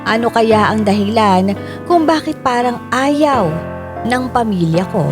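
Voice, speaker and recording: A woman's voice: on the high side, animated, a little slow. A woman with a Filipino accent narrating a dramatized first-person story. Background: music.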